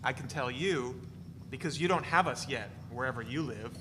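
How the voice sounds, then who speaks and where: A man playing a glib, teasing spinner of deadpan tall tales, with conversational salesman's patter, in a dramatic reading. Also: mid-range voice, normal speed, lightly acted. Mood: glib, boastful. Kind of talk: dramatic reading of a novel before an audience. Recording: noisy, reverberant hall recording.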